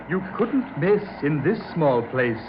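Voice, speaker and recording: An actor playing the menacing ghost of a murdered man in an old radio horror drama, slow, deliberate and mocking. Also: a little high, full character voice. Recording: muffled, noisy old radio recording.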